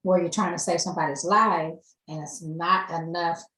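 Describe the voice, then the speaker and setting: A woman's voice: on the low side, steady tone, normal speed, fairly bright tone. A woman hosting a podcast interview, encouraging her guest with short affirmations. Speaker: host, nurse advocate. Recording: echoey room.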